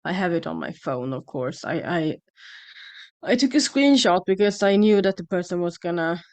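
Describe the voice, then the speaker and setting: A woman from Sweden with a Swedish accent, talking casually to her channel's viewers and often pausing with fillers and restarts. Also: lowish voice, lively intonation, a little mellow. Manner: hesitant, many fillers and restarts. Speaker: channel host. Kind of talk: casual monologue to camera.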